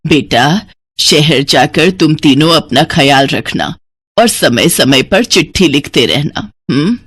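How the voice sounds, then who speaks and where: A man's voice: fairly high pitch, lively intonation, slow, a bit bright, husky, no echo, pitched below the performer's own voice, full character voice. A man voicing a worried, pleading old village mother-in-law in a moral story cartoon.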